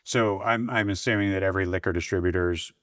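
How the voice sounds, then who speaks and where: A man's voice: low-pitched, fairly even intonation, fast talker, fairly bright tone, no echo, clean studio sound. A man co-hosting a casual podcast in an easygoing way, chiming in with short agreements and prompting questions. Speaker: co-host.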